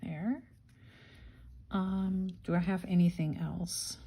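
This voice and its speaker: A woman's voice: lowish voice, fairly even intonation, takes it slowly, warm tone, slight background noise. A woman hosting a casual craft-along, unhurriedly thinking aloud and murmuring to herself as she works. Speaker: craft tutorial host.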